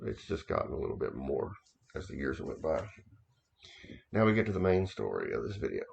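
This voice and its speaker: Very deep gravelly man, halting storyteller. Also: big pitch swings, a little mellow, lo-fi sound, a little hiss or hum. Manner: halting, repeats himself, many restarts and fillers. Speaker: occult author recounting experiences. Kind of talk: casual first-person storytelling.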